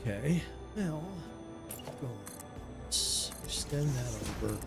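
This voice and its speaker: A tired man with a raspy voice, chatting casually and muttering to himself while streaming a building game. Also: medium pitch, expressive, takes it slowly. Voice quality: raspy, gravelly. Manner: mutters to himself, self-critical, rambling. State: tired, yawning. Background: game music.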